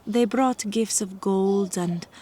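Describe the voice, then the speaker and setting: An actress playing a wary, gentle young village mother of ancient times in an audio drama, formal and hesitant. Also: medium pitch, takes it slowly, natural voice.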